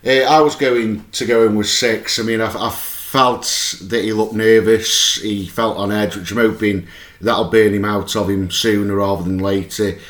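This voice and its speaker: Man, hoarse and low, keen podcast host; roomy sound. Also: steady tone, normal speed, a bit bright. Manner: chatty and eager, with restarts. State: hoarse, losing his voice. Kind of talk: casual fan podcast chat.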